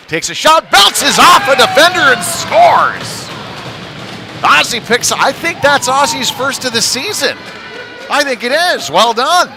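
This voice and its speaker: A man doing casual, conversational play-by-play commentary on a live hockey game, with slightly hesitant phrasing. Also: sits high. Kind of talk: live amateur sports commentary. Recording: noisy live arena sound.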